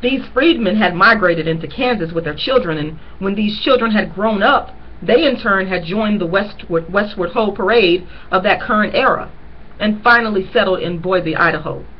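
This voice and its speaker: A woman's voice: mid-range voice, muffled recording, slight background noise. A woman reading a novel aloud chapter by chapter. She stops now and then to point out important lines to her listeners in an earnest voice. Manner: earnest, pauses to stress key lines to listeners. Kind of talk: reading aloud with personal commentary.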